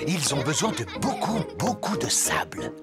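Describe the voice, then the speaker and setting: A woman narrating a young children's cartoon in a scripted, expressive storybook manner. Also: very deep voice, moderate pace, over loud music, heavily acted. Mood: lively storytelling.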